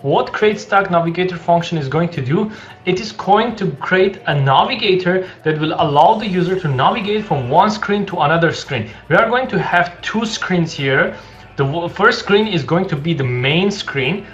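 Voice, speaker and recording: A young man walking through a coding project for a screen-share tutorial, halting and restarting often as he explains, recorded indoors at his desk. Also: a little high, fairly bright tone. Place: small room indoors. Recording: dull lo-fi sound with faint music.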